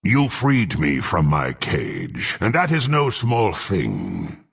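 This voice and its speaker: A menacing, proud half-orc fighter from a fantasy game. He talks slowly and formally in a gruff, husky voice, swinging from growls to haughty commands. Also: low-pitched, wildly swooping pitch, a bit bright, exaggerated voice. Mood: vengeful, imperious. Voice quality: gruff, gravelly. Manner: haughty, threatening, formal archaic speech. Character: menacing proud half-orc warrior sworn to dark patron. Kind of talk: dramatic fantasy game voice acting.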